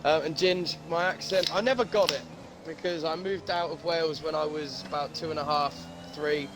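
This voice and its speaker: A man co-commentates a live sim race in a slightly high voice, friendly and full of banter. He greets viewers warmly by name as he reads the chat. Engine noise from the game hums clearly behind him. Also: fairly even intonation, normal speed.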